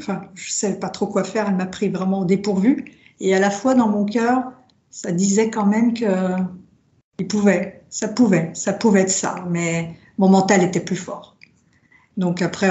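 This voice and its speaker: A woman born in France to Italian parents, with Italian as her first language, telling her story slowly with many pauses and fillers. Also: fairly low pitch, dark tone. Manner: hesitant, many fillers, reflective. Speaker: drum maker, guest. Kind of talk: casual interview, personal story. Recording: roomy, dull lo-fi sound.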